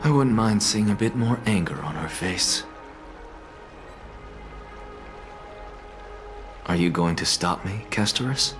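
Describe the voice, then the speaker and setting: A man playing a proud, sardonic professor in a video game, speaking in a measured, pompous way. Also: fairly low pitch, lively intonation, over music, natural voice. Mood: wry, defiant. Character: proud male scholar professor, aloof, sardonic, defiant. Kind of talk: dramatic video game acting.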